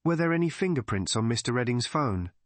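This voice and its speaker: A man's voice: medium pitch, animated, a little fast, no echo, lightly acted. A man voicing a polite, earnest village vicar in an audiobook.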